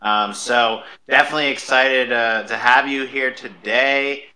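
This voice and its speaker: A man's voice: medium pitch, steady tone, unhurried, bright, forceful tone. A man hosting an interview show, talking casually and confidently about his own community. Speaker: radio show host.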